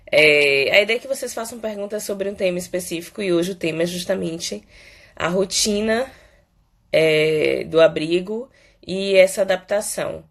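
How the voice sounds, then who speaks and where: A woman from Salvador, a psychologist hosting a casual live Q&A, hoarse and stuffy from a cold, chatty and peppering her talk with "né". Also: fairly low pitch. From Salvador, Bahia, Brazil. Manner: chatty, fills pauses with "né" and "é". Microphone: phone.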